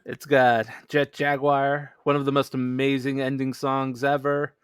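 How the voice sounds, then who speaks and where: A man's voice: medium pitch, completely flat delivery, measured pace, smooth, no echo, clean studio sound. A man in a casual podcast discussion, speaking informally and easygoing as a co-host.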